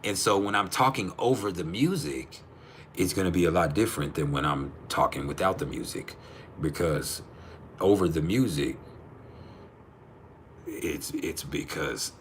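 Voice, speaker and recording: Deep-voiced man chatting over music. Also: expressive, measured pace, long pauses, a little hiss or hum. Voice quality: naturally deep voice. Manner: slangy, profane, blunt. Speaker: livestream host. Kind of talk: casual live chat with viewers.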